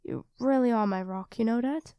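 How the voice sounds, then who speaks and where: A woman's voice: fairly high pitch, normal speed, dark tone, smooth, dry recording, natural voice. A young woman playing an affectionate, easily flustered girlfriend in an ASMR roleplay, speaking expressively and intimately to her partner. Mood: apologetic, tender. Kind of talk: ASMR roleplay acting addressed to the listener.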